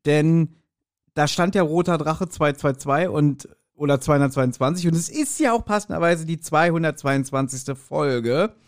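Higher-voiced man in joking podcast chat. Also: normal speed. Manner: joking, teasing, animated storytelling. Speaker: podcast co-host.

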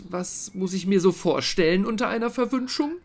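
A man's voice: sits high, moderate pace, gravelly. A man playing a vain young prince in a fairy-tale audio play, nonchalant and self-satisfied. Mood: casual, puzzled.

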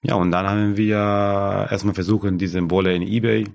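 A man, a guest talking about founding his yarn business, halting as he searches for German words. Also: lowish voice, little pitch movement, creaky. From Argentina. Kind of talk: casual interview.

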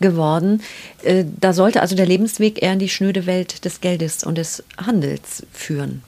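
A woman's voice: on the low side, moderate pace, dark tone. A woman conducting a literary interview in conversation, phrasing her questions in an articulate, eloquent way. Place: indoors at the guest's home.

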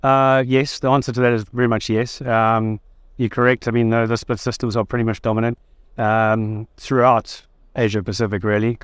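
A South African man with a smooth, clear voice of middle pitch, answering questions at a trade fair stand. He sounds easygoing and thoughtful, with the odd light joke. The sound is close and dry. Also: flat delivery, normal speed, no echo. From South Africa (Durban). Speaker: guest. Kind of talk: conversational interview.

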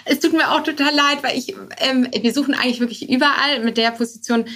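A woman's voice: sits high, fairly even intonation, fast talker, fairly bright tone. A woman speaking as a podcast guest and startup co-founder, answering informally with hesitant phrasing and English business jargon. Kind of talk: conversational interview answers.

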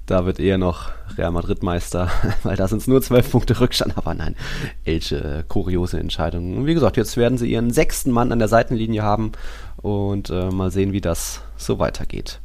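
A man's voice: sits low, normal speed, vocal fry, darkish tone. A man hosting a football podcast, talking casually and loosely as he recaps, with asides along the way. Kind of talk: casual sports podcast discussion.

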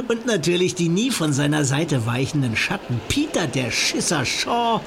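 A man's voice: a little high, big pitch swings, a little slow, vocal fry, noisy background, exaggerated voice. An actor playing a sneering, nasty teenage bully in a detective radio play, mocking as he drawls. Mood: mocking, gloating.

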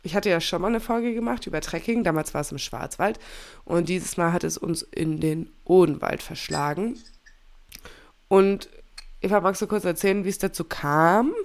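A young woman hosting a relaxed podcast, her voice a little low and slightly stuffy from a cold. She chats easily, laughs often and corrects herself as she goes. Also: normal speed. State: has a cold. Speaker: podcast host. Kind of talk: casual chat.

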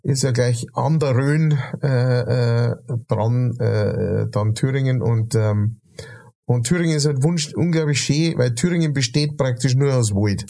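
A man with a Bavarian accent talks loosely on a podcast, his voice mellow and a little dark. He wanders through his points with plenty of hesitations and self-corrections. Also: medium pitch, unhurried, creaky. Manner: rambling, many fillers and restarts. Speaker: podcast co-host. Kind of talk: casual chat.

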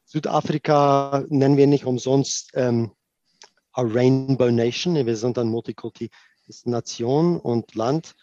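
A middle-aged man from South Africa whose first language is Afrikaans, speaking German with an Afrikaans accent, answering interview questions in an animated way, with many restarts and fillers and the odd English phrase. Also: medium pitch, takes it slowly, no echo, dull audio. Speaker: tour operator guest.

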